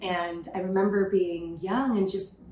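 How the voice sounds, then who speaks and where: A woman from California, a podcast guest, telling her story in a lively, casual way with many "you know" fillers. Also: medium pitch, unhurried, reverberant, a little hiss or hum. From California, USA. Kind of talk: casual interview conversation.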